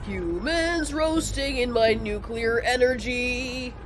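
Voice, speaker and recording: A woman voicing a gloating, cruel giant male monster villain with a drawn-out sing-song delivery, in an audio story. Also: on the high side, music in the background. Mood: gleeful menace.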